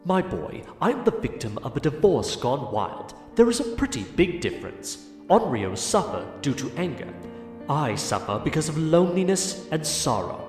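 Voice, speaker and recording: A man's voice: on the high side, very animated, moderate pace, darkish tone, faint music behind. A man playing a wry, mocking, lonely spirit who narrates an audio drama in a theatrical manner. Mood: wry, grave.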